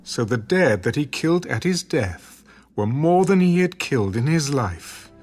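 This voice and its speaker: A man's voice: mid-range voice, big pitch swings, unhurried, a little mellow. A man narrating scripture as a scripted, dramatic audio production. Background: music.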